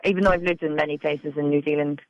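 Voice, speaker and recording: A woman's voice: sits low, steady tone, quick pace, no echo, lo-fi sound. A woman with a Cork Irish accent, a poet talking casually in a radio interview, full of "like" and "you know". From Ireland. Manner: chatty, many "like"s and "you know"s. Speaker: poet guest.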